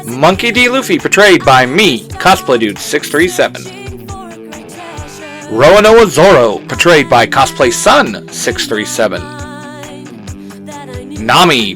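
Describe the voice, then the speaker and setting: A man hosts, reading credits in a scripted announcer style. His voice is bright and forceful with lively swings in pitch, and he takes his time between phrases. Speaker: host reading cast credits.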